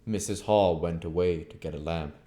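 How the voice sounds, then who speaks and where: A man with a low-pitched voice narrates a story from a book. He reads aloud as an amateur audiobook reader, in the register of storytelling. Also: normal speed, dark tone.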